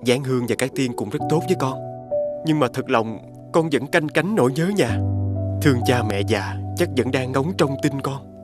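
A man's voice: medium pitch, moderate pace, lightly acted. Man playing an earnest young scholar over music. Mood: earnest, longing. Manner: polite, earnest. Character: homesick young scholar husband, courteous and earnest. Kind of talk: animated fairy-tale acting.

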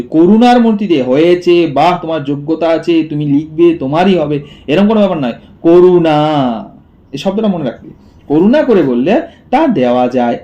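Mellow, higher-pitched male teacher, muffled audio. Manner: repeats phrases and poses questions to himself. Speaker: school literature teacher. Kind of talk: explanatory lesson, reading a story line by line.

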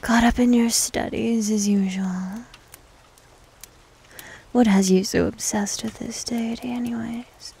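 A woman playing a needy, teasing, doting succubus demon servant in an intimate ASMR roleplay, breathy and mostly whispered, close to the microphone. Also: a little high, fairly even intonation, mellow, exaggerated voice. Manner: coy, teasing, slow and drawn-out.

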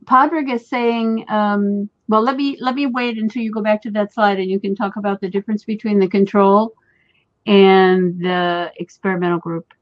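A woman's voice: mid-range voice, fairly even intonation, moderate pace, no room sound. A woman moderating a question session over a video call, friendly and organized. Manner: friendly, organized, a few restarts. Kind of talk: moderating online Q&A. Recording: dry dull video call audio.

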